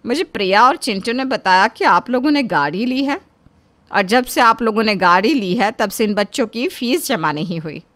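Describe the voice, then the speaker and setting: Stern schoolteacher, acted by a woman, fairly high voice, formal reproachful lecturing for a cartoon tale. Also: animated, moderate pace, a bit bright. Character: stern female schoolteacher, scolding and righteous.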